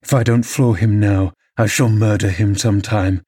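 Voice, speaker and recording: A man's voice: on the low side, steady tone, normal speed, mellow, slightly rough, dry recording, clean studio sound. A man voicing a contemptuous, vengeful, violent man from a classic novel in a sneering, menacing manner. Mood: furious.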